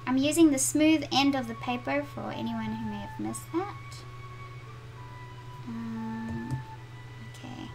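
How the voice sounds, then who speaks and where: A woman's voice: a little high, slow, vocal fry, pauses often, over music, low-quality recording. A middle-aged woman with a South African accent, chatting to viewers and reading their comments aloud as she draws in her home studio. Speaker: art channel host. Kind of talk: live-stream drawing chat with viewers.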